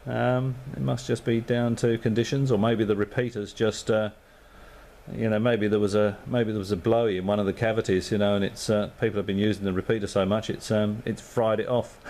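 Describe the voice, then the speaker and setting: A man's voice: on the low side, little pitch movement, brisk, darkish tone. A man on amateur radio, chatting casually and thinking aloud with lots of fillers. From Australia.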